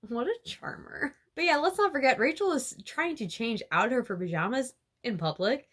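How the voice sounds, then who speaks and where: A young woman with a slightly high voice chatting through a book summary for her channel. She giggles often and peppers her sentences with "like" and "you know." Her delivery is loose, casual and excited. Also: normal speed, breathy edge. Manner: chatty and giggly, full of fillers like "like". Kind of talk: casual chapter-by-chapter retelling.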